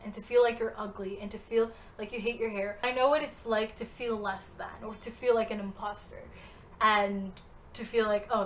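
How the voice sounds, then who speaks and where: A woman's voice: a little high, fairly bright tone, a little hiss or hum. A woman in her twenties talking earnestly to the camera in a motivational vlog, direct and encouraging. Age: 20s. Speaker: vlogger.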